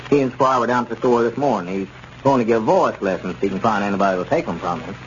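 Man playing low-voiced easygoing small-town merchant. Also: fast, lightly acted. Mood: sensible. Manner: chuckling, level-headed. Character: easygoing, amused, sensible small-town store owner. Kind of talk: 1930s radio comedy acting. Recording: dull, noisy 1930s radio recording.

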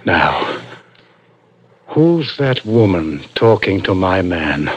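An actor playing a crafty police interrogator in a 1960s radio drama, coaxing and insinuating with deliberate, halting questions. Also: male voice, deep voice, sing-song, noisy background, exaggerated voice. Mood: confiding, coaxing. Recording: dull vintage radio broadcast recording.

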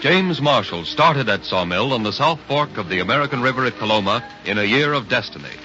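A man's voice: mid-range voice, lively intonation, fairly quick, music in the background. A man narrating a 1930s radio history drama in a grandiose, declamatory announcer style. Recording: muffled 1930s radio recording.

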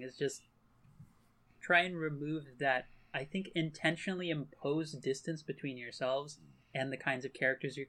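A woman speaking frankly in a casual panel discussion, talking in loose, chatty runs filled with "like.". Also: low voice.

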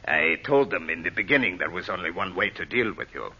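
An actor playing a blunt, commanding foreign schemer with formal, imperious, clipped phrasing in an old radio drama. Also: male voice, deep voice, lively intonation, brisk, bright, forceful tone, husky, exaggerated voice. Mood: coolly commanding. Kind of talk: 1930s-40s radio drama acting. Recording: muffled old radio broadcast recording.

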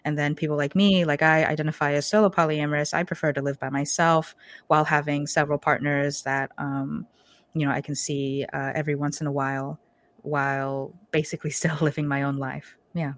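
A young woman from Portland talks through her answers in a loose, chatty way, full of fillers and little laughs. Her voice sits low, and the sound is dry and close, with no room around it. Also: level tone, a little fast, no echo. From Portland, Oregon, US. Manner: chatty, many ums and likes, laughs easily. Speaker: guest, social media creator. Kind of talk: casual interview answers.

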